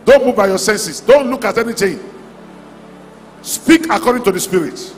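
A man's voice: high-pitched, lively intonation, normal speed, a little hiss or hum. A man preaching a charismatic sermon, exhorting his congregation in short, repeated declarations. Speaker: preacher leading a convention. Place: large hall at a church convention. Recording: echoey hall PA, music underneath. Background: music.

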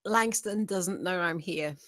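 A woman's voice: fairly low pitch, takes it slowly, fairly bright tone, dry recording, studio quality. A woman playing a demure, seductive and manipulative businesswoman in an amateur table read, with a coaxing manner.

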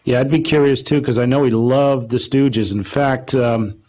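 A man with an American accent interviewing a guest conversationally, phrasing his questions in a halting, tentative way. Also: mid-range voice, steady tone, darkish tone, a little hiss or hum. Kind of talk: conversational interviewing before an audience.

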